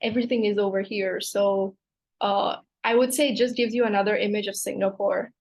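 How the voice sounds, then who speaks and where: A woman's voice: mid-range voice, fairly even intonation, brisk. A woman in her twenties from Hong Kong, a PhD student chatting as a guest on a video call, with frequent "like" fillers. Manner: chatty, fills with "like". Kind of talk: casual interview chat.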